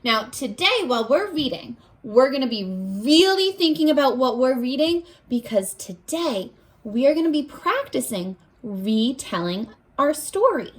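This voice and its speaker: A woman teacher leading a read-aloud lesson for young children in an animated way, asking questions and pausing for answers. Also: sits high. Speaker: primary school teacher.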